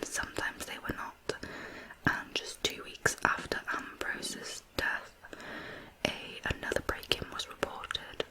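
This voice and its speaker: An ASMR host narrating true crime in a hushed, unvoiced, breathy whisper with deliberate phrasing. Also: low-quality recording. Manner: slow, deliberate, hushed storytelling.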